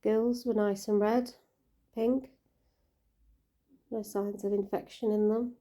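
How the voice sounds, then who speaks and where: Croaky-voiced woman, gentle and chatty. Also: mid-range voice, little pitch movement, slow. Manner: gentle, caring, chatty with fillers. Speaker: koi keeper vlogger. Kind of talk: casual vlog narration.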